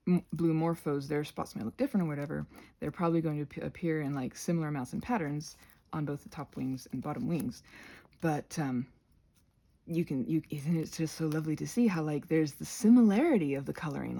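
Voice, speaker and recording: Woman playing a gravelly, rambling crafty goblin. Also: sits low, dark tone, full character voice. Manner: rambling, halting, goes off on tangents.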